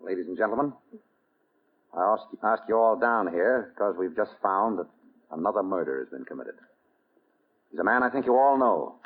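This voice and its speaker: Muffled old-time radio sound carries an urbane gentleman sleuth. His voice is husky and swings easily in pitch as he questions with dry, wry control, in polished 1940s radio style. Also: expressive, normal speed, pauses often. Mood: probing, controlled. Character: suave, wry gentleman sleuth running a murder investigation. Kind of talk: 1940s radio drama acting.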